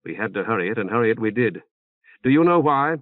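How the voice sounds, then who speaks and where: A man reading an audiobook, playing a calm, formal and articulate male leader. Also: fairly low pitch, quick pace, dry recording, narrow, tinny audio. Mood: reasoned, firm.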